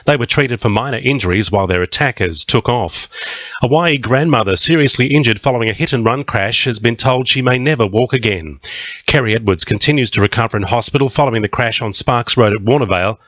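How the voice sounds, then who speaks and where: A man's voice: medium pitch, fast, fairly bright tone, no echo, lo-fi sound. A man with an Australian accent reading a scripted radio news bulletin.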